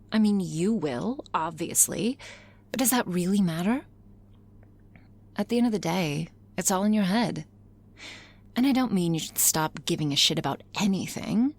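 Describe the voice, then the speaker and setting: A woman plays a confident female office boss in an intimate ASMR roleplay. Her voice sits fairly low with plenty of fry. She speaks frankly and swears freely, musing with wry pauses as if to one listener.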